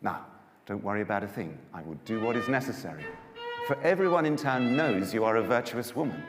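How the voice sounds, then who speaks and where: A man playing kindly, honourable Boaz, ringing through a hall. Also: on the low side. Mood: gentle, reassuring. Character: Boaz, kindly, honourable older landowner, earnest and authoritative. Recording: echoey hall with long reverb.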